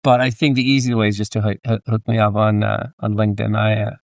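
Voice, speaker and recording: A man with a Danish accent speaking casually as an interview guest, in a somewhat halting, hedging way. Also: on the low side, level tone, vocal fry, no echo, studio quality. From Denmark. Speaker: podcast guest, entrepreneur and author.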